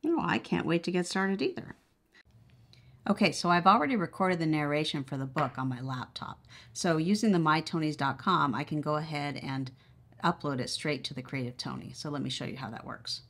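A woman with a notably low-pitched voice talks through a product demonstration. She is casual and conversational, explaining each step as she goes. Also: brisk, a bit bright. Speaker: product reviewer.

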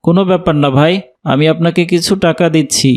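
A kindly educated office worker played by a man in a cartoon. His voice is a little high for a man, and he speaks with courteous, helpful manners. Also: steady tone, fast, close to an everyday voice. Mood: reassuring, helpful. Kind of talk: animated cartoon dialogue.

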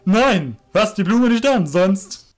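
A man playing an irritable, authoritative master of a shadow guild, speaking loudly and emphatically. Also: high voice, lively intonation, slow pace, pitched above the performer's own voice. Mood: alarmed shouting.

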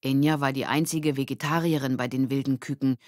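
A woman's voice: very deep voice, fairly even intonation, brisk, a little mellow, no echo, studio quality. A woman with a standard German accent reads a scripted children's story as an audiobook narrator.

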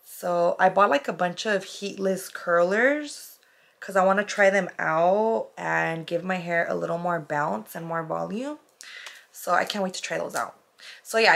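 A young woman vlogging casually to the camera from her bedroom, her voice a little hoarse and raspy, talking loosely with plenty of "like" and "um". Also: medium pitch, a bit bright. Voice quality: chatty, lots of fillers like "like" and "um". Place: bedroom, indoors.

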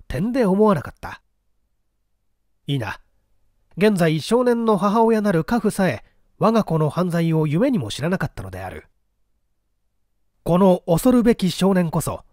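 Animated man reading a mystery story. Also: fairly high pitch, dry recording, clean studio sound. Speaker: audiobook reader. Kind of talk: scripted dramatic narration of an old mystery novel.

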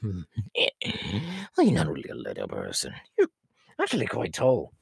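Raspy, wildly swooping killer clown. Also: male voice, deep voice, huge pitch swings, unhurried, no room sound, clean studio sound, exaggerated voice. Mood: pleased, giggly. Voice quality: raspy, breathy, gravelly, slipping into whispers. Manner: rambling, teasing, laughing at himself, erratic swoops. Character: tall inhuman killer clown, giggling, menacing, childishly candy-hungry. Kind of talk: in-character horror roleplay monologue.

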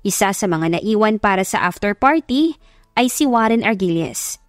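A woman's voice: medium pitch, animated, moderate pace, no room sound, studio quality. A woman narrator reading a scripted audiobook story. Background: background music.

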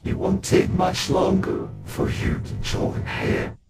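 A man voicing a menacing, sadistic animatronic rabbit monster with a growling rasp, taunting in drawn-out horror-game villain lines. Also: high voice, wildly swooping pitch, heavily acted. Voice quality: gravelly, growling, breathy rasp. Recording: music under the voice.

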